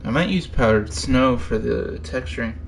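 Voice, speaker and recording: A man's voice: medium pitch, moderate pace, creaky, slight background noise. A man giving casual gameplay commentary, thinking aloud and muttering to himself in short fragments. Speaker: streamer.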